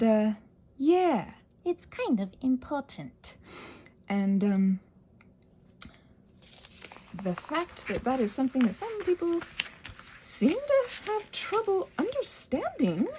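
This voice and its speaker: Woman rambling playfully, pitch swinging freely. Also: warm tone, slight background noise. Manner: playful, quirky, digressive. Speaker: host of a crafting channel. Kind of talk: casual rambling craft chat, ASMR channel.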